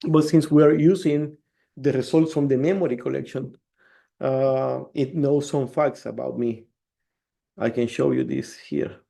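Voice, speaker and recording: Brief: male developer, gravelly and warm, explains code step by step. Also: mid-range voice, expressive, a little slow. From El Salvador. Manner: explains step by step, restarts words. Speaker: developer presenting a demo. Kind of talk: screen-share tech demo.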